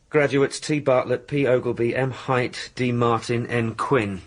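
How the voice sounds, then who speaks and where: Polite, steady British police sergeant. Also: male voice, medium pitch, takes it slowly. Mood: dutiful, puzzled. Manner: polite, hesitant, gently dry. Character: deferential, steady male police sergeant, the loyal sidekick. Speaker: actor in a radio drama. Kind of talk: British radio drama acting.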